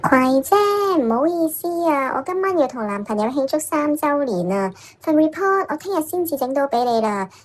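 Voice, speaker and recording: A woman's voice: sits high, lively intonation, normal speed, few pauses. A woman voicing a carefree young subordinate who sweetly shirks work, with a Hong Kong Cantonese accent in a workplace audio drama. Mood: sweetly shirking.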